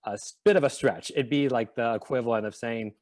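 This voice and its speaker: Man host for an online panel, mid-pitched, earnest, measured delivery. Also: a little mellow. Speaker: event host.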